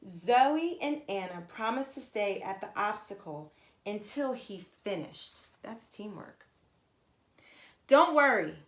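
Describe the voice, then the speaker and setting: A woman reading a children's story aloud like a teacher, with emphatic, expressive narration addressed to young listeners. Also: mid-range voice, measured pace. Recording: echoey, roomy sound.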